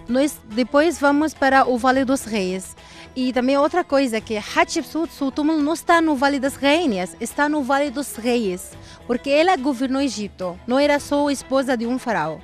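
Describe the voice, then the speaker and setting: An Egyptian woman working as a tour guide outdoors, explaining history in halting learned Portuguese, with Arabic as her first language. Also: sits high, soft background music. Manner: halting non-native phrasing, explanatory. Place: outdoors at an ancient temple.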